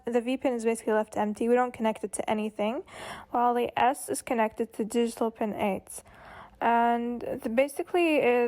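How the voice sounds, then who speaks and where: A woman's voice: a little high, a little mellow. A woman giving an informal explanatory project presentation, hesitant and often repeating herself as she goes.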